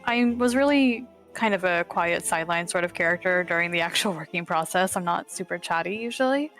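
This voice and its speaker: A woman's voice: fairly low pitch, fairly quick, a bit bright, soft background music. A woman game artist chatting casually in a Q&A, bashful, self-effacing and prone to nervous giggles. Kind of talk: casual Q&A chat.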